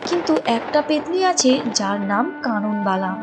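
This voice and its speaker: Female cartoon narrator, fairly high voice, scripted storybook read with music behind. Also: very animated, measured pace.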